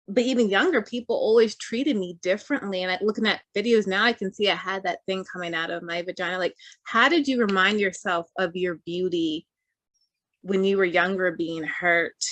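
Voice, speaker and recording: A woman's voice: on the low side, fairly bright tone, dry recording. A woman hosting a casual, personal interview, talking candidly and informally with frequent filler "like". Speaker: podcast host.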